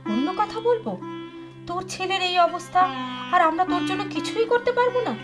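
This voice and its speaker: Woman acting a wistful unhappy housewife, high voice, emotional confiding delivery, radio play, plucked sitar music behind. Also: animated, moderate pace. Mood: concerned, insistent. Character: wistful unhappy wife of a rich businessman. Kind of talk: Bengali audio drama acting.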